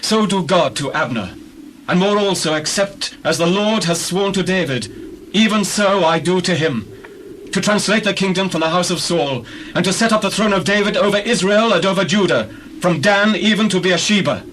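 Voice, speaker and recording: A man's voice: high-pitched, moderate pace, fairly bright tone, a little hiss or hum, low-quality recording. A man playing a proud, indignant ancient Israelite commander, declaiming archaic lines in a dramatized scripture reading.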